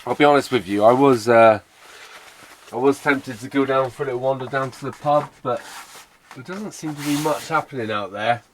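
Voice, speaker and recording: A hoarse man with a raspy voice from a cold, chatting casually to camera in a relaxed, rambling way from his camper van. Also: medium pitch, moderate pace, slight background noise. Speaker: van life vlogger. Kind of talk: casual vlog chat to camera. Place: inside a camper van at a campsite.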